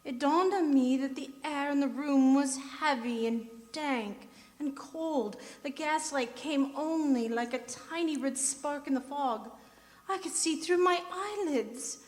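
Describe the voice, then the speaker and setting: A woman playing a haunted young wife who narrates her eerie journal in radio drama style, measured and full of dread. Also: sits high. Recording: live theater stage, long hall reverb.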